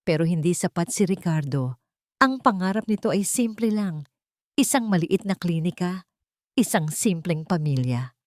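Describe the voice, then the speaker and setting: A woman's voice: lowish voice, dry recording. A woman reading a scripted romantic drama as a storyteller. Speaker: story narrator.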